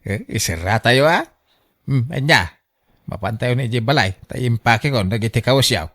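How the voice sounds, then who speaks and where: A man's voice: mid-range voice, lively intonation, moderate pace, fairly bright tone. A man acting a scheming grown son in a family radio drama, coaxing and insistent in his delivery. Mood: persuading.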